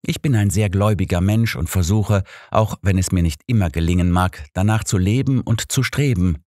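A man voicing a devout, candid and measured male pastor in an audiobook. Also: low-pitched, darkish tone, no echo, clean studio sound, voice pushed up, natural voice. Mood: earnest.

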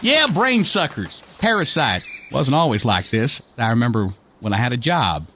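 A man's voice: medium pitch, big pitch swings, normal speed, background noise. A man playing a brash, wisecracking redneck with an in a comic radio play. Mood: wry, weary. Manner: folksy, wisecracking, exasperated. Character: brash, cranky redneck everyman, last human alive.

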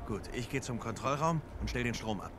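An actor playing a confident adult treasure hunter laying out a plan in a video game dub. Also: male voice, medium pitch, lively intonation, fairly quick, natural voice. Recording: game audio with background noise.

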